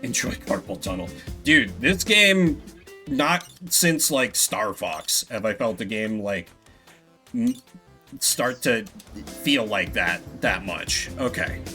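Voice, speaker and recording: A man's voice: fairly low pitch, sing-song, takes it slowly, bright, forceful tone. A young adult man streaming a game blind, rambling with swears and self-deprecating jokes. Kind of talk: blind let's-play commentary. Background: game music.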